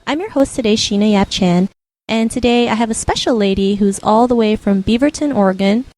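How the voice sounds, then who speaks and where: A woman's voice: a little high, fairly quick, a little mellow. A woman hosting an interview podcast, upbeat and affirming, with many "you know" fillers. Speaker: podcast host.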